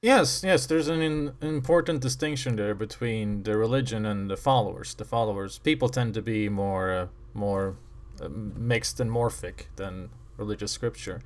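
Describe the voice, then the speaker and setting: A man from Bosnia hosting an interview, asking calm, measured questions. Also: fairly low pitch. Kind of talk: conversational interview.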